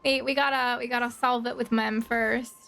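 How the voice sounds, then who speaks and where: A woman's voice: on the high side, little pitch movement, normal speed, creaky, pushed and bright, soft background music. A woman livestreamer giving casual, playful game commentary, thinking aloud, with slight noise behind her. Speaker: livestreaming gamer.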